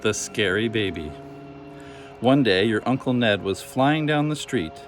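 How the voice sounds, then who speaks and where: A man's voice: lowish voice, lively intonation, measured pace. Man reading children's stories over music. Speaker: storybook reader. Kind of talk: expressive children's story narration.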